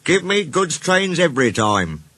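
A man voicing a small, grumpy steam engine in a children's storybook, rude and quick-tempered, with a blunt grumbling manner. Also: fairly high pitch, big pitch swings, pushed and bright, voice pushed up, heavily acted. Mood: blunt, boastful.

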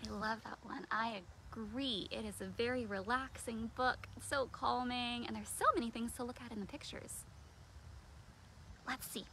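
An American woman hosting a storytime for young children outdoors, talking expressively and putting questions to her viewers. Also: fairly high pitch. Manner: animated and expressive, with dramatic gasps, whispers and questions. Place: outdoors in a backyard. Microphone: handheld or propped phone at arm's length. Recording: outdoor phone recording with clear background noise.

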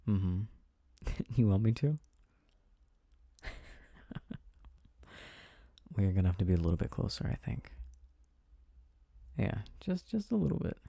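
A man performs an affectionate, gently teasing boyfriend for an ASMR roleplay. His voice is very dark and relaxed, with a gravelly creak and a husky softness. He talks intimately and haltingly, as if reassuring someone he adores. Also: fairly low pitch, no echo, clean studio sound, exaggerated voice. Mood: playful, coaxing. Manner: soft, intimate, halting, chuckling delivery.

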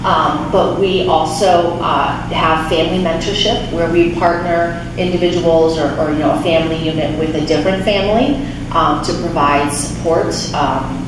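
A woman's voice: sits low, fairly even intonation, moderate pace. A woman in her thirties giving a casual talk at a lectern, with frequent restarts and fillers. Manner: chatty, many restarts and fillers. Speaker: guest speaker from a refugee resettlement nonprofit. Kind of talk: informal talk with audience questions. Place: small church chapel, speaking at a lectern. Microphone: handheld mic, recorded by a far camera. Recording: echoey chapel, distant camera, room noise.

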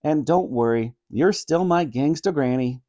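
A man's voice: on the high side, unhurried, mellow, no echo, lightly acted. A man voicing an earnest, nervous young boy in a storybook reading, close to his own voice.

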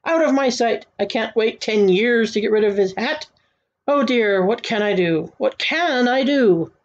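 A woman's voice: medium pitch, normal speed, darkish tone, muffled recording, lightly acted. A woman voicing an irritable, fretful king who gives exclamatory commands in a storybook read-aloud. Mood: exasperated. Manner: exclamatory, imperious.

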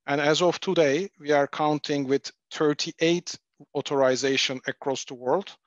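A man from Turkey, a pharmaceutical executive, presenting formally at a webinar over a video call with frequent pauses. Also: on the high side, steady tone, no echo. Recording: dull dry video-call audio.

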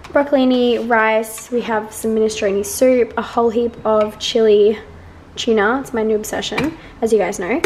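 A woman, hoarse from losing her voice, chatting casually to a camera held at arm's length. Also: a little high, steady tone, normal speed. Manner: chatty, bubbly, rambling. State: hoarse, lost voice. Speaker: vlogger. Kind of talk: casual vlog chat. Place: car and busy restaurant. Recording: loud crowd and road noise.

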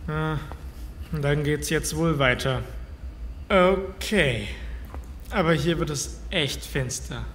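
Guy acting anxious trapped man in a horror audio play, kinda sarcastic, tense bright voice, lots of echo. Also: a little high, slow, long pauses. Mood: uneasy, weary. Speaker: voice actor. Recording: echoey hall reverb, faint background noise.

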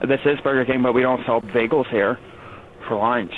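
A polite, matter-of-fact man doing customer service at a fast-food place over the phone. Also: mid-range voice, fairly even intonation. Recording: tinny phone line, faint noise.

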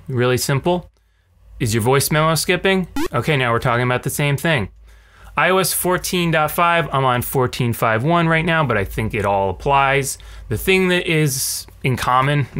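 A man in his thirties talking casually to camera as a tech video host, with a sardonic, animated ranting manner. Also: mid-range voice, clear, resonant tone. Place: small cluttered home room. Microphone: large condenser mic on a stand beside him.

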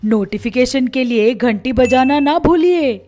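A woman's voice: high voice, expressive, a little slow, a little mellow. A woman voicing an older mother-in-law greeting viewers in a cartoon channel promo. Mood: welcoming. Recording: roomy sound with music behind.

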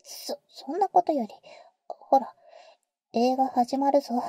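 A woman acts out a protective, teasing vampire big sister for an ASMR roleplay. Her whisper is breathy and mellow, very close and dry on the mic. She uses cool, boyish phrasing with an intimate feel. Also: high voice, takes it slowly, dry recording, exaggerated voice. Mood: affectionate, playful. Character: teasing, protective female vampire, older-sister type to a boy. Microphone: close microphone, dry.

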